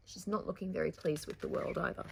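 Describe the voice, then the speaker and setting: A woman's voice: fairly low pitch, fairly even intonation, fast, gravelly, breathy edge. A woman presenting a children's storytime, reading a picture book theatrically with dramatic emphasis and chatty asides to young listeners.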